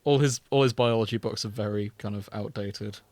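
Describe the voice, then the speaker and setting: A man's voice: fairly low pitch, fairly even intonation, brisk, a little mellow. A man co-hosting a podcast, chatting casually about a TV series in an informal, sweary, wry way. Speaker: podcast co-host.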